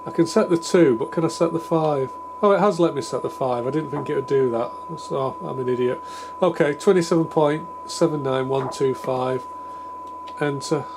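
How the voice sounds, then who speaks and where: A man's voice: a little high, moderate pace. A man giving a casual, improvised technical demonstration, thinking aloud in a tentative, self-deprecating way. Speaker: hobbyist radio repair presenter. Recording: clear background noise with hum.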